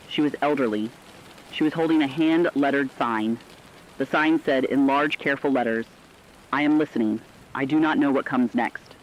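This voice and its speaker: A man playing a steadfast, weary radio anchor who speaks deliberately and reflectively in a scripted apocalyptic radio drama. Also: medium pitch, highs cut off, lightly acted. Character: steadfast, weary radio anchor reporting through societal collapse.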